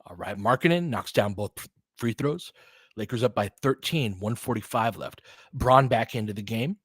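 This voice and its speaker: A man calling basketball play-by-play with quick, excitable calls and chatty asides, on a close mic at home. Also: lowish voice, breathy edge, no echo. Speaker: play-by-play commentator. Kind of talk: fan-made basketball play-by-play commentary.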